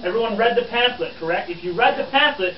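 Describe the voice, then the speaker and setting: A man's voice: high voice, expressive, fast talker. A man playing a pompous, easily exasperated nineteenth-century newspaper reporter who stammers and hectors his pupils in a live stage show. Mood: bossy, flustered. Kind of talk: living-history stage performance for an audience. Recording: roomy, distant, dull live audience recording.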